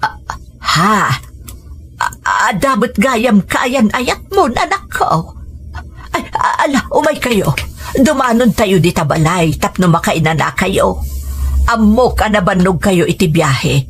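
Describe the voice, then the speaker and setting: A woman's voice: medium pitch, sing-song, slow pace. Woman playing elderly rural mother, Ilocano accent, tender, flustered, exclaiming, radio drama, faint music. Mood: flustered, welcoming.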